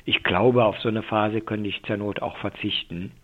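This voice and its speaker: An older man explaining things as an expert in a radio interview over the telephone, with halting delivery and many filled pauses. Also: lowish voice, steady tone. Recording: muffled, thin telephone line.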